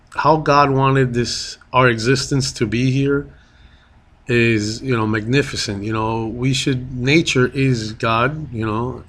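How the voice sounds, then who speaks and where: A man's voice: medium pitch, a bit bright. A man from Miami and Colombia makes a rambling, impassioned appeal to commuters, full of fillers, restarts and repeated phrases. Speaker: street speaker addressing commuters. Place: public transit, bus or station.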